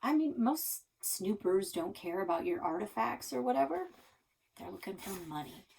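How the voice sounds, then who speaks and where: A woman's voice: mid-range voice, sing-song, normal speed, gravelly, a little mellow, a little hiss or hum, poor audio. A woman voicing a casual, chatty local boy from a children's book, offhand and knowing. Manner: offhand, slangy, hesitant fillers.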